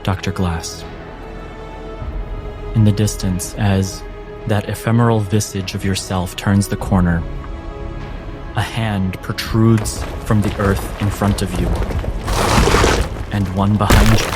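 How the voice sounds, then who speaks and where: A man narrates a tabletop adventure as game master in a deep voice. He takes his time, leaving dramatic pauses between short phrases. Music plays clearly underneath. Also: steady tone.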